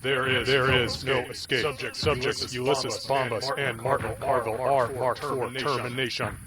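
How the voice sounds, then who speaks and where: An actor playing a relentless cyborg soldier, speaking in a clipped, formal, mechanical manner for a sci-fi audio drama. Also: male voice, mid-range voice, flat delivery, moderate pace, over a noisy crowd, natural voice. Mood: cold, menacing. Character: cold, relentless cyborg soldier hunting humans. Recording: loud music behind the voice.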